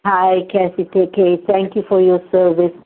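A woman's voice: lowish voice, little pitch movement. Older Hungarian-born woman on a phone line. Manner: halting, repeats words, earnest and emotional. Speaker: meeting participant sharing. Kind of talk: personal share in a phone recovery meeting.

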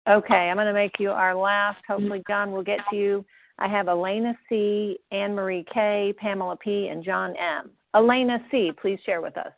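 A woman leads a meeting on a tinny phone line, her voice gravelly with fry. She runs things briskly, calling out names in order. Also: medium pitch, fairly even intonation, noisy background. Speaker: meeting leader. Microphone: phone call.

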